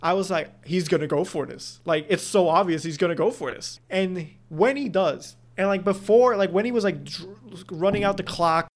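High-voiced man gushing as a fan. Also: normal speed. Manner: excited fan storytelling. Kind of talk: casual sports chat.

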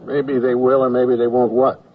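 A man's voice: mid-range voice, level tone, moderate pace, natural voice. An actor playing a reasonable, exasperated male war correspondent in a radio comedy drama, wry and conversational. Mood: exasperated protest. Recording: dull radio recording, slightly distant.

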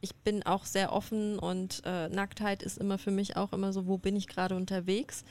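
A woman's voice: mid-range voice, little pitch movement, normal speed, a little mellow. Frank, outspoken woman. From Germany. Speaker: guest. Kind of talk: casual discussion.

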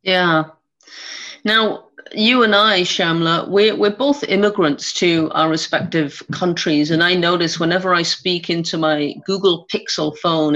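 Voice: mid-range voice, a bit bright, dull audio. A podcast host with an English-Irish accent, asking long, searching interview questions.

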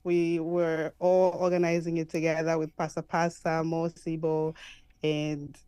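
A woman's voice: deep voice, monotone, a little slow, warm tone, clean tone, no echo. A woman from Zambia, a podcast host asking eager, encouraging questions in a casual interview chat.

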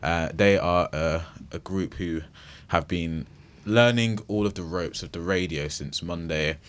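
A man's voice: low-pitched, unhurried. A man presenting a community radio show from the studio in a casual, rambling way, with plenty of fillers. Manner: chatty, rambling with restarts and fillers.

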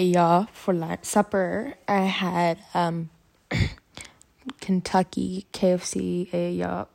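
A woman's voice: lowish voice, a little mellow. A girl of about twelve from Uganda with an American-sounding accent, chatting casually and giggling through a rambling vlog from her school hostel. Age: about 12 to 13, grade seven. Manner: chatty, giggly, goes off on tangents. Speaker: vlogger. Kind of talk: casual rambling chat to camera.

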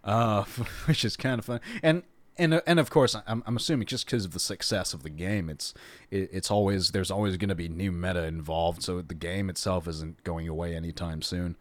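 Male podcast host, low pitch, dry close sound, rambling chatty delivery. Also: moderate pace, no room sound. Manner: rambling, restarts often, laughs a lot. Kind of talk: casual interview chat.

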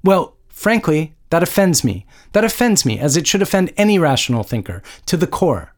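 A man's voice: on the high side, very animated, moderate pace, pitched above the performer's own voice. A man voicing an indignant, combative woman scientist in a story, blunt, exasperated and emphatic. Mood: offended.

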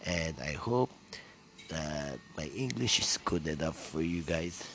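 Deep gravelly Filipino man, noisy background. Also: expressive, unhurried, a bit bright. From Philippines. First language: Tagalog. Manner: rambling, with frequent fillers and restarts. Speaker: podcast host. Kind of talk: solo podcast talk.

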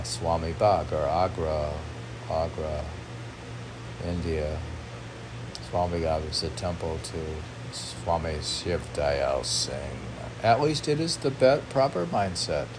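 A man with a very deep, gravelly voice talks slowly, musing and answering his own questions. An air conditioner hums loudly behind him. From United States. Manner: muses aloud, questioning and answering himself. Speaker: poet reading his own work as a podcast. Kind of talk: rambling self-questioning monologue. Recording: noisy room with air-conditioner hum.

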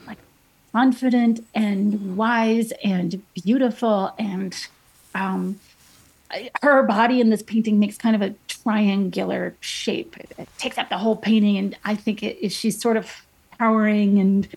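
Chatty, slightly husky woman painter. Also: mid-range voice, steady tone, measured pace, a little mellow, low-quality recording. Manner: chatty storytelling with many fillers and restarts. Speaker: painter guest. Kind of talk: casual interview chat.

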